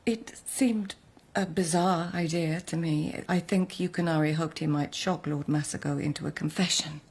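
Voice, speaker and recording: A woman's voice: sits low, steady tone, moderate pace, darkish tone, breathy edge. An actress in a radio drama playing a composed, observant lady of the court.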